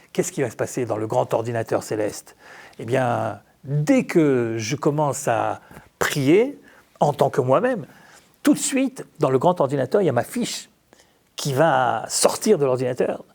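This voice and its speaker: Man, rabbi, gravelly creak, medium pitch, informal sermon, theatrical storyteller, rhetorical questions. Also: darkish tone. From France. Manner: conversational, humorous, theatrical storytelling. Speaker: rabbi teaching. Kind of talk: informal religious talk with rhetorical questions and acted-out dialogue.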